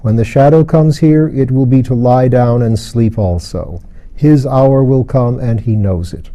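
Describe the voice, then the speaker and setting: A man voicing a solemn ancient father in a storybook, speaking gravely and with calm authority. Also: mid-range voice, unhurried, natural voice. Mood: solemn, assured.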